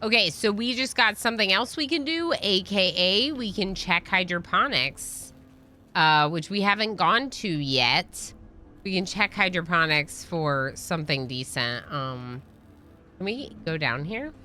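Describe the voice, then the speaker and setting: A woman game streamer with a fairly low, bright, forward voice. She talks casually and chattily to her viewers while she plays a role-playing game. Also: lively intonation, measured pace. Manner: chatty, reads game dialogue aloud.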